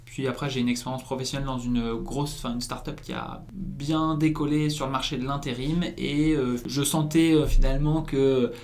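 A man's voice: medium pitch, fairly even intonation, moderate pace, hardly any pauses, a little mellow, a little hiss or hum. A man in his twenties from northern France, a guest telling his story in a chatty way with many fillers and restarts. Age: 20s. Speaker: guest, founder. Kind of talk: casual interview.

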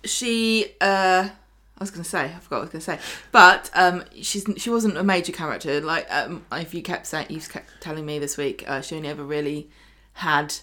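A woman hosting a podcast in casual chat, brisk and a little bossy with her co-host. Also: deep voice, sharp tone.

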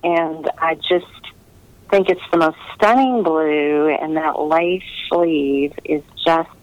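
A woman's voice: sits low, slow pace, no echo, highs cut off. A woman chatting casually as an author being interviewed, gracious and enthusiastic in the way she talks.

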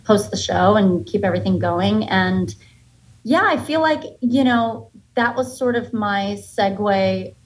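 A woman's voice: medium pitch, a little slow, low-quality recording. An American woman in her thirties, a comedian being interviewed, talking casually and candidly in a chatty, playfully joking way. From United States, Massachusetts and Florida. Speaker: podcast guest, stand-up comedian.

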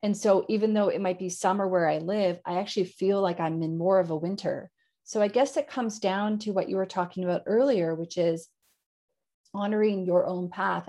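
A woman's voice: lowish voice, warm tone. A woman from Canada with a Canadian accent, chatting as the host of an interview podcast. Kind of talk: conversational interview.

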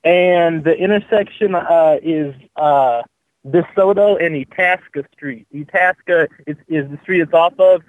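A man answering a phone call, halting and repeating words as he gives directions. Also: a little high, no room sound. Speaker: church contact receiving a call. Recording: tinny phone line.

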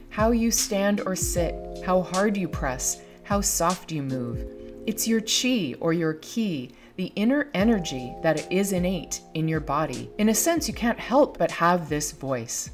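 Reflective woman podcast host in her fifties. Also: on the low side. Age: 50s. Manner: reflective, reads quotations aloud. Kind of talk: scripted podcast monologue.

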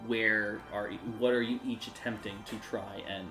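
A man improvising narration as game master of a tabletop game, in a halting, conversational way. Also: lowish voice, steady tone, echoey room. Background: music.

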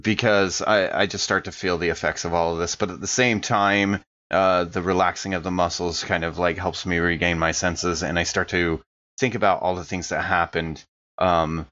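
Male tabletop player, low voice, casual improvised narration, slightly dull recording. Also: fairly even intonation, moderate pace, fairly bright tone.

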